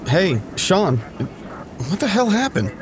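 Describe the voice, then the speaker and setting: An actor playing a sardonic, easily exasperated grown son in a naturalistic audio drama. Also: male voice, high voice, big pitch swings, slow, background noise, heavily acted. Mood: startled.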